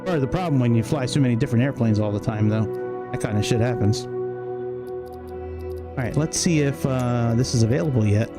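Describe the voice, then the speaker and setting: A man's voice: mid-range voice, normal speed, long pauses, over music. A man giving casual, grumbling, self-deprecating livestream commentary on a flight sim game, swearing freely.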